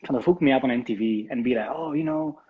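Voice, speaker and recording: A man in his thirties from Italy with an Italian accent, speaking English as a guest in a live interview, relaxed and hesitant with many ums and restarts. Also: mid-range voice, fast talker, breathy edge, lo-fi sound. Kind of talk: casual interview, live stream.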